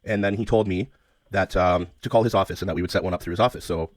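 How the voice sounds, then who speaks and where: Man, low pitch, very quick speech, hesitant, persistent, polite, phone caller, dry sound. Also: fairly even intonation, dry recording. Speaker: caller, self-described media interviewer. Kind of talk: phone conversation.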